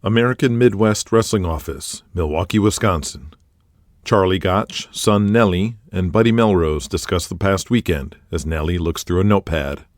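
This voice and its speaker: A man's voice: deep voice, moderate pace, no echo, clean studio sound. A man narrating a scripted audio drama.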